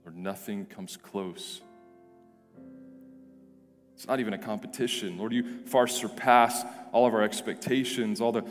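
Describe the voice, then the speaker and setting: A male pastor with a hoarse, deep, raspy voice, praying and then preaching earnestly, often restarting and repeating words. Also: fairly even intonation, unhurried, pauses often. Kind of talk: prayer and sermon. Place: church sanctuary. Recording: reverberant church hall with soft music.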